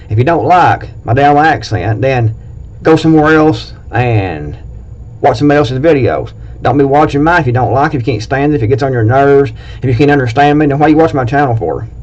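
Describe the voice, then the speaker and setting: A man's voice: mid-range voice, a little mellow. Blunt Appalachian man, lo-fi. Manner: blunt and plain-spoken, rambling with restarts. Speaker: prepping video host. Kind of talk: casual talk to camera, reading a list. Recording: dull lo-fi recording.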